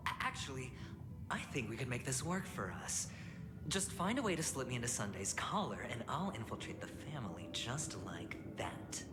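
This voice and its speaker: An actor playing a playful, flamboyant young male gambler in a video game, teasing and musing in a theatrical way. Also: medium pitch. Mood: sly, teasing. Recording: loud music under the voice.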